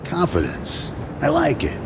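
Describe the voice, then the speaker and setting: An actor playing a chatty, easygoing veteran military lieutenant and mechanic in a video game, with a folksy, avuncular manner. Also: male voice, fairly high pitch, sing-song, a little slow, vocal fry, heavy background noise, heavily acted. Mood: breezy, friendly. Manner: folksy, breezy, avuncular, calls listener kid. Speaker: squad lieutenant. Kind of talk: video game cutscene acting.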